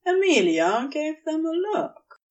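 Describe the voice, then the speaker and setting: Slow, expressive woman story narrator. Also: sits high, far from the mic, lo-fi sound.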